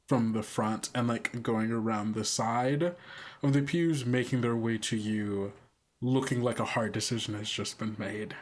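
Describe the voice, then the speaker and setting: A man improvising scene narration for a tabletop roleplaying game, halting and deliberate as he searches for words. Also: lowish voice. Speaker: game master and player on an actual-play podcast.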